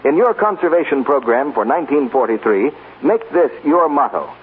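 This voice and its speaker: An American man delivers a 1940s radio advertisement in a polished, earnest sales style. His pitch moves around a lot. The recording is thin and narrow, with some background noise. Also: lively intonation, normal speed. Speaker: radio commercial announcer. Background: hiss and noise.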